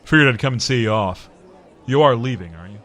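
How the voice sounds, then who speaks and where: A man playing a gruff, blunt and wry commander, brisk and suspicious, in an audio drama. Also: medium pitch, expressive, a bit bright, close to an everyday voice.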